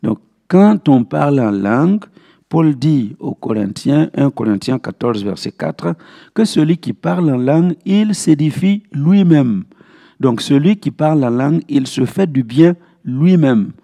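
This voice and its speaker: Male pastor for Bible study teaching; creaky, relaxed voice, didactic and repetitive for emphasis. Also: a little high, a little slow. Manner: didactic, repeats key phrases for emphasis. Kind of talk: Bible teaching with verse citations.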